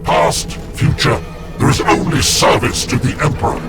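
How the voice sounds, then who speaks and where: A man's voice: a little high, lively intonation, moderate pace, lightly acted. A man playing a grave, devout warrior-seer entombed in a war machine, speaking in a formal, archaic way for a video game. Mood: foreboding. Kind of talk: dramatic video game acting. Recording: loud cinematic music behind the voice.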